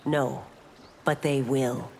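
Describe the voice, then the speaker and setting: An actress playing a stern, loyal police constable in a video game, speaking in a measured, formal and firm way. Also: female voice, a bass voice, level tone, vocal fry, very noisy, exaggerated voice. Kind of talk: video game dialogue acting. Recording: long hall-like reverb.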